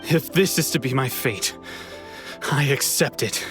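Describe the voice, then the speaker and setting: Man acting defeated swordsman, game drama, medium pitch, subdued, melancholy, hesitant, clear background music. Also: measured pace, close to an everyday voice. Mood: resigned.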